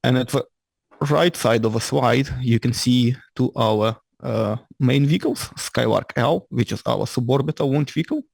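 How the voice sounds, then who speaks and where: A man with a Ukrainian-Scottish accent giving a lecture as an invited engineer. He speaks in halting, slightly ungrammatical English and often restarts his sentences. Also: mid-range voice, vocal fry, darkish tone, dry recording. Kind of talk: lecture presentation. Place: lecture room with audience.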